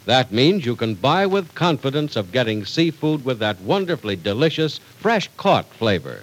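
A man's voice: mid-range voice, sing-song, normal speed, low-quality recording. A male announcer reading a script in a formal, polished 1940s radio style. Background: music.

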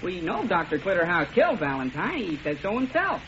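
A man's voice: sits high, wildly swooping pitch, fairly quick, heavily acted. An actor playing a flustered, earnest, plain-spoken jury foreman who stammers hesitantly and apologetically in 1940s radio comedy-drama style. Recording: noisy, muffled vintage radio broadcast.

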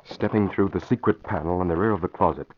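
Low-voiced old-time radio narrator, man. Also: fast talker. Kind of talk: dramatic 1940s radio narration. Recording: muffled, noisy vintage radio recording.

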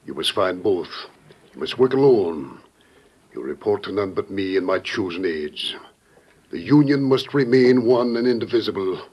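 An actor playing a grave, authoritative president in old-time radio, with stately, earnest, oratorical delivery. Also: male voice, fairly low pitch, breathy edge. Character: grave, fatherly, authoritative American president of frontier days.

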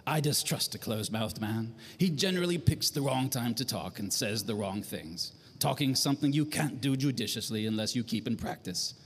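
An actor playing a smug, talkative middle-aged nightclub owner with affected refinement, in a 1940s-style noir radio drama. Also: male voice, mid-range voice, lively intonation, moderate pace, hardly any pauses, slightly rough. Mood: jovial, sly. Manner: affectedly refined, loquacious, chuckling.

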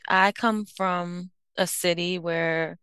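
A woman's voice: on the low side, fairly even intonation, takes it slowly, fairly bright tone, clean tone, no echo, studio quality. A woman in her thirties from the United States, an I-O psychologist guest, answering thoughtfully with frequent fillers and self-corrections. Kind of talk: reflective interview answers.

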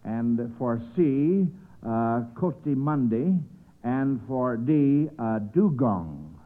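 A man's voice: mid-range voice, expressive, extremely slow. An American man hosting an old-time radio quiz show in a folksy, hesitant, encouraging way, reading questions and sponsor messages. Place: radio studio. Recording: tinny band-limited vintage radio broadcast.

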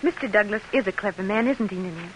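A woman's voice: medium pitch, quick pace, close to an everyday voice. An actress playing an ambitious, determined young lady from Kentucky in an old radio drama. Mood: coy, curious. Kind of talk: 1940s radio acting. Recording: hissy, noisy vintage radio recording.

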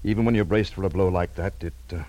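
An actor playing a grieving, dignified middle-aged widower in a 1950s radio drama, husky and halting. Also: male voice, deep voice, steady tone, mellow, lightly acted. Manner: halting, stammering.

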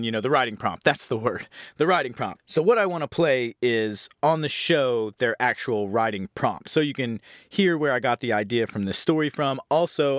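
A man's voice: medium pitch, a bit bright, no echo, studio quality. A man hosting a podcast in an informal, enthusiastic, casual chatting style. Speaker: podcast host. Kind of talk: casual solo podcast introduction. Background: faint music.

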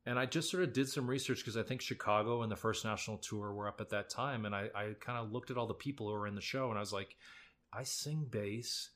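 A man's voice: lowish voice, fast, fairly bright tone. A young adult man, a musical theatre actor, telling audition stories in a laid-back, self-deprecating, chatty way. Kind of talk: casual storytelling interview.

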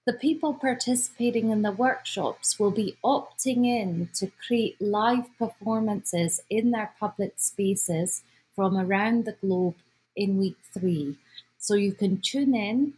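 A woman with a Scottish accent informally presenting an event as its organizer, speaking haltingly as she searches for words. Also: medium pitch, darkish tone, slightly rough, low-quality recording. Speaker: symposium organizer, guest speaker.

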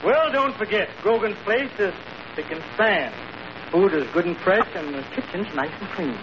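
Wisecracking waiter, old radio comedy, highish voice, dry and needling, muffled hissy recording. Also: male voice, expressive, normal speed. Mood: sardonic. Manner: dry wisecracks, folksy grammar. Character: wry, sardonic tavern waiter needling his boss. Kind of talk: 1940s radio comedy acting.

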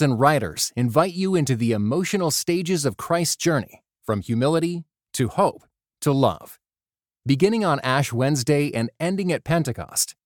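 A man reading a scripted advertisement in a smooth, polished way. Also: fairly high pitch, no room sound, clean studio sound. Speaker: ad announcer. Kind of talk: scripted ad read.

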